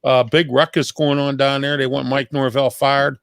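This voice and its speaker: A man with a gravelly, creaky voice talks sports casually as a panelist. His mid-pitched voice sounds dry, with no room around it. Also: flat delivery, normal speed, no echo. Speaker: sports talk show panelist.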